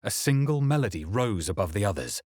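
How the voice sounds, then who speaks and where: A male fantasy adventurer for a video game. His voice is deep and husky, recorded dry and close. He sounds brooding and wry, with measured, dramatic delivery. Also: big pitch swings, a little mellow, dry recording. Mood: grave narration. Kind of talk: video game voice lines and narration.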